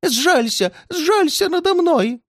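A terrified old man in a storybook reading, begging for mercy in thin, high, quavering cries, as a male narrator puts him on. The voice leaps up far above normal speaking pitch and swings wildly. The recording is close and dry. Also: animated, slow, fairly bright tone, no room sound, heavily acted. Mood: pleading. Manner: wailing, high pleading cries. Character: frightened pleading old man, terrified and paranoid.

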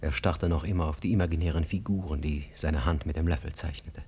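Deep-voiced, sombre male narrator. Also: steady tone, brisk, background noise. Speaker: radio drama narrator. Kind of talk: literary scripted narration.

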